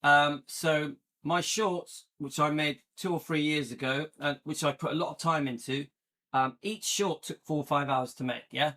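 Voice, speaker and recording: Chatty middle-aged man, bright voice. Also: steady tone, moderate pace. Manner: chatty and rambling, jumps into a higher playful pitch when joking. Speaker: YouTube channel host. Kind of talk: casual live-stream chat reading viewer comments.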